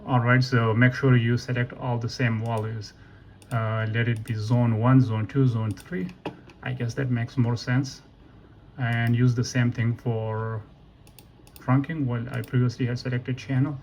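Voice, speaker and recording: A man's voice: medium pitch, flat delivery, darkish tone. Man, mid-range voice, unscripted walkthrough, hesitant and self-correcting, slight background noise with typing. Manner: halting, thinking aloud, self-correcting. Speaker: tutorial presenter. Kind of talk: casual unscripted software walkthrough. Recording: noisy room with keyboard typing. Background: computer keyboard clicks, ambient noise.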